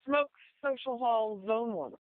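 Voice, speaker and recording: A woman's voice: on the high side, measured pace, dry recording. Female dispatcher on a tinny radio channel. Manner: clipped, procedural, repeats details. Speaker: county fire dispatcher. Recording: tinny narrowband emergency radio.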